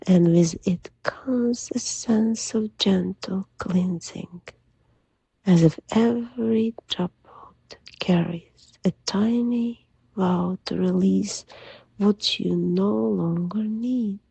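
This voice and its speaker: A woman leading a guided sleep meditation in a soft, soothing, gentle voice that often drops to a breathy near-whisper. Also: medium pitch, slow, no echo, rough recording. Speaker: hypnotherapist guide.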